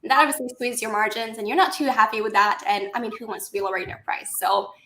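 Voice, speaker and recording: A woman's voice: on the high side, fairly even intonation, fast, bright, forceful tone, reverberant, a little hiss or hum, low-quality recording. A cheerful woman from Latvia with a Latvian accent with an American touch, a guest speaking as a LinkedIn coach over a video call. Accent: Latvian with American influence. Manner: cheerful, laughs easily. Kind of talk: casual interview chat. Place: home in London.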